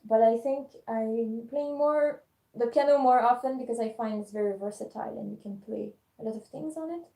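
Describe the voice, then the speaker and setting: A woman's voice: on the high side, creaky, mellow. Hesitant French-accented woman, echoey room. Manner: hesitant, many pauses and fillers. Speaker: musician live-streaming. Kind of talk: casual live-stream chat. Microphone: phone.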